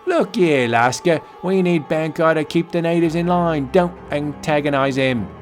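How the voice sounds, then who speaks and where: A man playing a blustering, scornful colonial colonel, loud and dismissive, in a comic book audio drama. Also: a little high, moderate pace, soft background music, pitched above the performer's own voice, natural voice. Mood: irritated.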